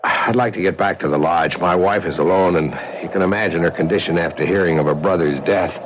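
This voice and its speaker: An actor playing a stubborn, weary lodge owner in an old radio drama, on a dull vintage recording. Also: male voice, low-pitched, fairly quick, a little hiss or hum, close to an everyday voice. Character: stubborn middle-aged male lodge owner.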